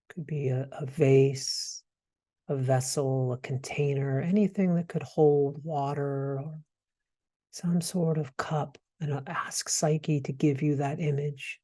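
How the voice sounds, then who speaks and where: Slow, mellow man guiding meditation. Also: a little high. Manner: gentle, hesitant phrasing with soft pauses. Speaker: meditation guide. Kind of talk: guided meditation.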